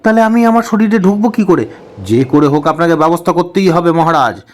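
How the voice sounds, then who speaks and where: A man's voice: a little high, brisk, close to an everyday voice. A man voicing a brash, indignant ordinary village husband in a comic cartoon, protesting and insistent. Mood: alarmed protest.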